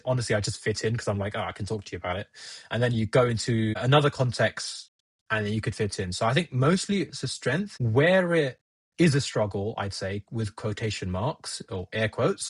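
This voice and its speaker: A young man whose first language is Gujarati, talking through his thoughts in a relaxed podcast chat and often laughing at himself. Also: fairly low pitch, husky, dry recording. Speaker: podcast guest. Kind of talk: casual conversation.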